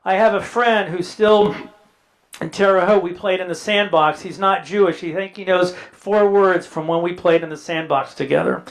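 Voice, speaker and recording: High-voiced man in his sixties telling family stories. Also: normal speed, rough recording. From Indiana, US Midwest. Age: 60s. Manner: anecdotal, quotes family members in dialogue, dry humor. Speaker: guest speaker. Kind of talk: personal storytelling talk.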